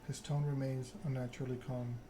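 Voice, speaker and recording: A man's voice: mid-range voice, level tone, brisk. Evenly spoken man narrating, ambient noise behind. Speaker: streamer reading a game aloud. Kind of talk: ASMR narration of a story game. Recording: clear background ambience noise.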